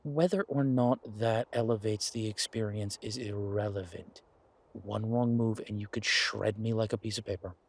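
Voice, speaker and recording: A man playing an affectionate, eager boyfriend in an audio roleplay, talking in a casual, halting, coaxing way with a husky voice. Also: on the low side, dry recording, full character voice. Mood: teasing, affectionate. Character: affectionate, eager boyfriend pitching grand ideas to his werewolf girlfriend.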